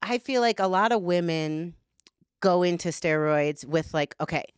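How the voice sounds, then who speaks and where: Blunt, smooth-voiced woman, candid and profane. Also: on the low side, normal speed, no echo, studio quality. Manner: blunt, profane, talks with lots of "like". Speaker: podcast guest. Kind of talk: candid casual conversation.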